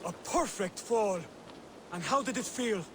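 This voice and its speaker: A man playing an earnest, devout young assassin scholar in a video game, speaking in a measured, formal, instructive way. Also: high voice, expressive, background noise. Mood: pleased, warm. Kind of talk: video game cutscene acting.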